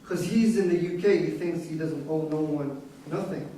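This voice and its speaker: An actor playing a young, street-hardened migrant prisoner in a staged reading, plain-spoken, with non-standard grammar and a defensive, pragmatic air. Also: male voice, on the high side, moderate pace, dark tone, natural voice. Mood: matter-of-fact, self-justifying. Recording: distant mic in echoey room, noisy.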